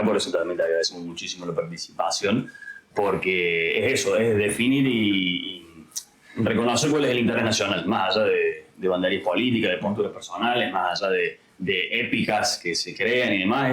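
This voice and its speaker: Low-voiced Argentine man hosting, echoey room. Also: a little fast, a crowd in the background. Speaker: host. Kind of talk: casual interview talk.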